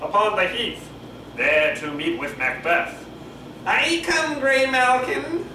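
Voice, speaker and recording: Man putting on a high-pitched theatrical witch. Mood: calling out, incantatory. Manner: theatrical, drawn-out, pitched-up put-on voices. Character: eerie witches chanting a spooky prophecy. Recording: echoey, noisy camcorder audio from far off.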